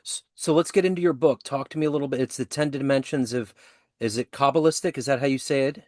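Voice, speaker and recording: A man's voice: medium pitch, quick pace, darkish tone, no room sound, clean studio sound. A male host asking a guest direct, eager questions in an interview.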